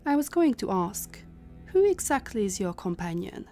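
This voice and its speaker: A woman playing a composed, authoritative leader of a secret time-travel organization, speaking formally and with measured command. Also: mid-range voice, very animated, mellow, soft background music, natural voice. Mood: stern, considering. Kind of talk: cinematic audio roleplay acting.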